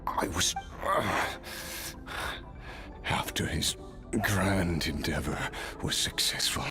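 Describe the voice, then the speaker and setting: An actor playing a weary immortal swordsman in a video game, wistful and self-deprecating, telling his story haltingly with pauses. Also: low voice, over music. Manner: halting, pauses mid-sentence, clears his throat. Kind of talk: dramatic storytelling in a game cutscene.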